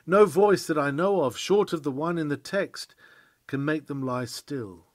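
A man's voice: on the high side, measured pace, no echo. A man reading an old-fashioned sermon in an earnest, emphatic preaching style. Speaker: reader of a historical sermon.

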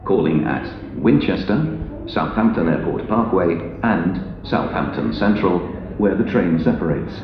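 An Englishman reading formal, measured railway station announcements over a public address system, enunciating each phrase clearly in a pieced-together, pre-recorded way. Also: low-pitched, lively intonation, a crowd in the background. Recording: echoey station PA, muffled, noisy.

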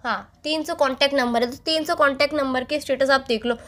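High-pitched young woman, stubbornly chatting. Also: fairly even intonation, quick pace, a bit bright. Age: young adult. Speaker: girlfriend on a phone call. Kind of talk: casual couple chat.

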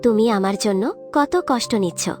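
A woman voicing a gentle, grateful talking bird queen in a cartoon story, tender and concerned in manner. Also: on the high side, lively intonation, measured pace, soft background music. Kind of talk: dramatized cartoon story dialogue.

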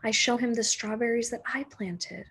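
A woman in her thirties reading a children's picture book aloud like a teacher, with gentle, expressive phrasing. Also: a little high, normal speed, breathy edge.

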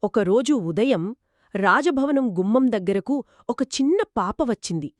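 A woman's voice: on the high side, animated, a little slow, no room sound. A woman co-narrating a children's moral story in a conversational storytelling style. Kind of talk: conversational two-person storytelling.

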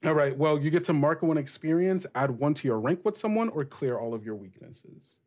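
A man's voice: fairly high pitch, expressive, brisk, darkish tone. A man hosting a tabletop game podcast as game master, narrating and chatting casually. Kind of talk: casual actual-play game narration and chat.

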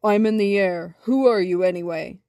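A plucky storybook bumblebee, voiced by a woman. The voice is medium in pitch, blunt and matter-of-fact, recorded close and dry. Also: moderate pace, a little mellow, dry recording, lightly acted. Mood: defiant.

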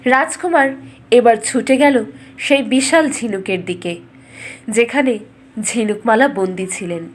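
A woman storyteller giving a scripted, dramatic fairytale narration in measured, expressive phrases. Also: a little high.

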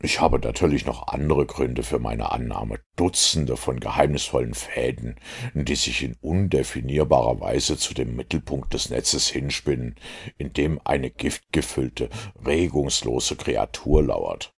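A man voicing a composed master detective in an audiobook, lecturing in a measured, self-assured way. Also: rumbling low, vocal fry, slightly rough, exaggerated voice. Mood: grave.

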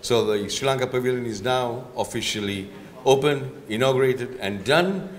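A man acting as master of ceremonies, announcing slowly and formally. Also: medium pitch. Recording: echoey hall, heard from afar.

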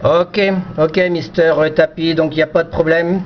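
A man's voice: fairly high pitch, flat delivery, normal speed. A man chatting casually over amateur radio, hesitant and often repeating his words. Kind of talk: casual two-way radio chat. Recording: dull lo-fi audio with slight noise.

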